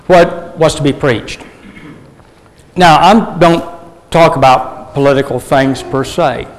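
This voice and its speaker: Throat-clearing preacher in an echoing hall. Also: male voice, on the high side. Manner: measured, with frequent throat clearing. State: losing his voice. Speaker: pastor. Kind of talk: sermon.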